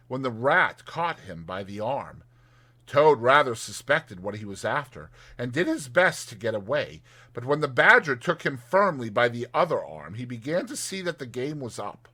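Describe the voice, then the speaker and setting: A male audiobook narrator with a bright voice. He reads scripted storybook prose in a dramatized style, with lively swings in pitch. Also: husky.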